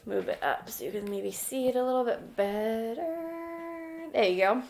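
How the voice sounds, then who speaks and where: A woman with a slightly high voice speaks as an elementary school teacher. She reads aloud for young children and explains in simple, instructional terms, with frequent little check-ins. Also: animated, a little slow, a little mellow. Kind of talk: children's read-aloud lesson with questions.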